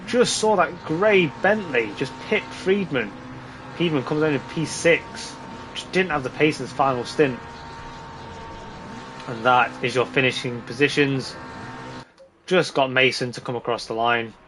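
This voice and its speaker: A man with a slightly hoarse voice giving casual, informal live commentary on a sim race. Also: a little high, a little slow, pauses often, a bit bright, a little hiss or hum, rough recording.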